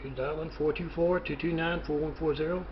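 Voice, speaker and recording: Male interviewee, slightly high voice, hesitant and meandering delivery, muffled phone recording with faint noise. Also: fairly even intonation. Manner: halting, hesitant, rambling. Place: phone call.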